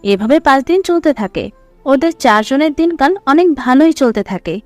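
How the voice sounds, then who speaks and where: High-pitched woman narrating a story. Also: animated, a little fast, darkish tone, no room sound, soft background music.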